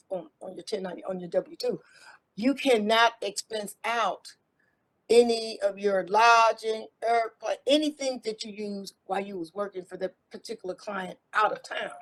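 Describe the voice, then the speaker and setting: A woman's voice: medium pitch, low-quality recording. A middle-aged American woman talking in a casual interview, rambling and restarting with repeated words. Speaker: guest, former IT contractor.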